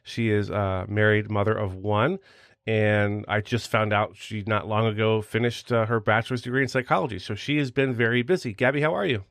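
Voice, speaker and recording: A man's voice: lowish voice, steady tone, a little fast, a bit bright, no room sound. Chatty male podcast host, dry sound. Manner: upbeat, chatty. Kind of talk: podcast hosting and sponsor read.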